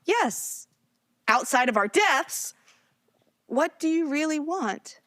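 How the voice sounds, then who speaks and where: A woman playing an excitable, earnest geeky heroine in a live sci-fi comedy drama, speaking emphatically. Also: high-pitched, takes it slowly, no echo, exaggerated voice. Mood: coaxing. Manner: expressive, emphatic, pleading.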